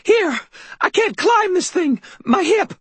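A frail elderly landlady with a quavering, tearful voice, pitched far above her reader's, as a man performs her. She sounds devout and fussing, her voice swooping up and down. The audiobook is recorded dry and close. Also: sing-song, takes it slowly, dry recording, full character voice. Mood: urgent. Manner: tearful, pleading.

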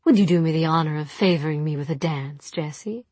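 Suave young gentleman, read by a female narrator: low, husky, softly condescending, possessive audiobook dialogue. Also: fairly quick, dry recording. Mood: tender, teasing.

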